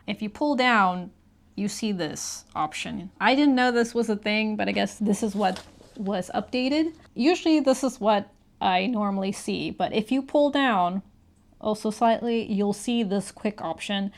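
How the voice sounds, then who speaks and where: A woman's voice: mid-range voice, animated, unhurried. A woman talking informally as she co-hosts and demonstrates in a casual gadget review.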